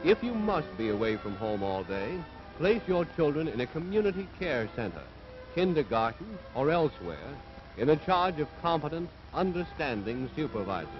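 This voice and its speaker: A man's voice: on the high side, very animated, normal speed, music in the background. An American man delivering scripted 1940s propaganda film narration in an earnest, authoritative, moralizing manner. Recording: dull vintage 1940s film soundtrack.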